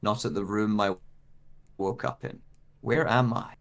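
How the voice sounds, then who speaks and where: A man playing a bewildered man with lost memory, speaking an uneasy, deliberate monologue in a narratorial way for an audio drama. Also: lowish voice, steady tone, gravelly.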